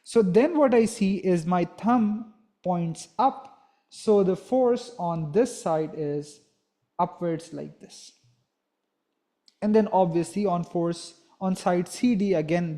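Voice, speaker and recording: A man's voice: sits high, dark tone. A young man giving a physics lesson, explaining step by step and often checking in with a "right?". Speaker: physics teacher.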